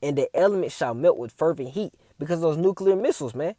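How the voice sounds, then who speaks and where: A man with a slightly raised but dark, mellow voice talks through scripture like a street preacher. He keeps checking in with "all right?" and "man" between points. The sound is dry and close. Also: on the high side, animated, fast talker, no echo. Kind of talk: casual religious commentary video.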